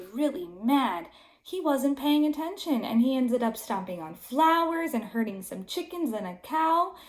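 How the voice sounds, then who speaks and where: Very animated woman reading to young children. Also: fairly high pitch, reverberant. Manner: simple, emphatic phrasing for small children. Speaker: teacher reading aloud to children. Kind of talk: expressive storybook read-aloud for young children.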